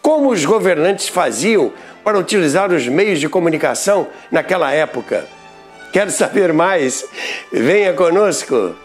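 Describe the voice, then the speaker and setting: An older man with a Brazilian Portuguese accent who hosts a radio history show, narrating from a script with expressive, emphatic delivery. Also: on the high side, measured pace, soft background music.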